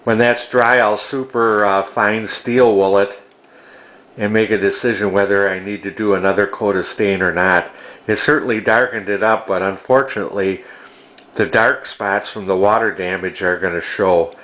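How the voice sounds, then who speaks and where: An older man casually narrating a hands-on project in a halting, plainspoken, matter-of-fact way. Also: on the low side, fairly even intonation, fairly bright tone. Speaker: antique restoration hobbyist demonstrating a refinishing project.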